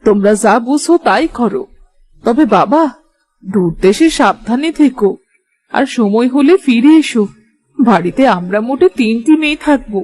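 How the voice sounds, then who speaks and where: Elderly cartoon mother-in-law played by a woman: highish, husky, frail, gently accepting. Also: animated, normal speed, rough recording. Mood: motherly, accepting. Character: frail, kindly old village mother-in-law. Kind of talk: Bengali moral-story cartoon dubbing.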